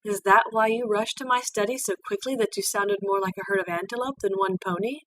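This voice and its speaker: A gentle, regal pony ruler , played by a woman reading a story, speaks with calm formality. She sounds like a motherly mentor. The voice is slightly off the mic with faint noise behind. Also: mid-range voice, fairly quick, natural voice. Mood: gently probing. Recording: distant mic with background noise.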